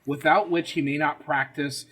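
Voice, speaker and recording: A husky-voiced man with a slightly high pitch leads a casual history podcast. He explains things wryly and swears freely as he goes, in a chatty, joking style. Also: steady tone, moderate pace, a bit bright. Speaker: podcast host leading the episode.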